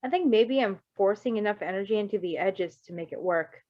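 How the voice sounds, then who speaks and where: A woman's voice: mid-range voice, a little fast, muffled recording, close to an everyday voice. A woman voicing an awkward, hesitant young male superhero character in an amateur fan fiction reading, informal and self-effacing. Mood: casual, sheepish. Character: skittish, awkward young male superpowered runaway, apologetic and shyly curious.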